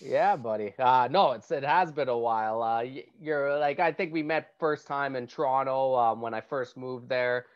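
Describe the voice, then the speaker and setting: A man's voice: medium pitch, normal speed, hardly any pauses, clean tone. Laid-back male podcast host, dull line. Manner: laid-back, laughs often, swears casually. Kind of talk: casual podcast chat. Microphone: earphones and handheld mic on video call.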